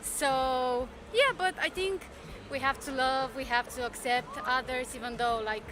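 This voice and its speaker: A woman's voice: high-pitched, a little slow, music in the background. A woman interviewed on a city street, answering thoughtfully and searching for words. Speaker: passer-by interviewed.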